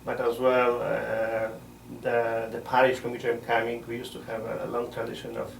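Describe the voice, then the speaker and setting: A middle-aged man, a missionary priest answering interview questions in a measured way, often pausing to find words. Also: medium pitch, completely flat delivery, creaky, a little hiss or hum. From Poland. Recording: roomy sound from a distant mic.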